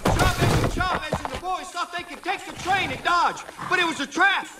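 An actor playing a frantic young outlaw calling out in alarm in a western video game. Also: male voice, very high-pitched, moderate pace.

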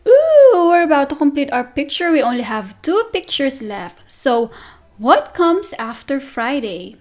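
Slow sing-song woman teaching toddlers. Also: sits high, very animated, a little mellow. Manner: slow, sing-song and encouraging, with gasps of praise. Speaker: preschool teacher. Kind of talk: circle-time lesson for toddlers.

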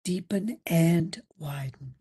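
Slow, soft-edged woman guiding meditation. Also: fairly low pitch, slightly rough. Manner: soothing, unhurried, with long pauses between short phrases. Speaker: meditation instructor. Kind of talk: guided meditation.

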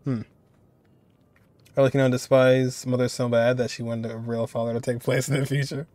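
A man's voice: medium pitch, flat delivery, normal speed, dark tone, dry recording. A man in his twenties giving casual reaction commentary as the host of a video. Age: 20s.

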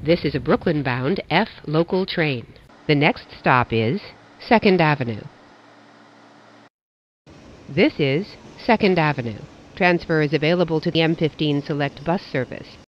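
A woman reading recorded train announcements in a measured, formulaic way with careful enunciation. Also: low voice, lo-fi sound, a little hiss or hum.

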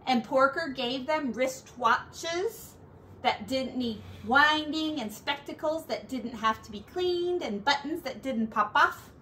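A middle-aged woman reading a picture book aloud to children like a teacher, with deliberate, drawn-out words and little asides. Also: high-pitched, sharp tone, a little hiss or hum. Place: small furnished room at home.

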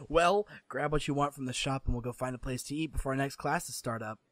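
A man's voice: medium pitch, fairly quick, fairly bright tone, dry recording, natural voice. A man voicing a brash, teasing young male gamer friend in a visual novel. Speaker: voice actor in a fan dub.

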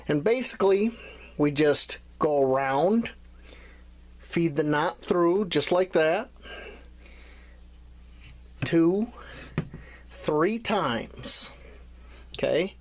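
A man teaching bushcraft knots, talking through each step while his hands tie the cord. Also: fairly high pitch. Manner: plain step-by-step explaining while tying. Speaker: bushcraft instructor. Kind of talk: hands-on knot tutorial.

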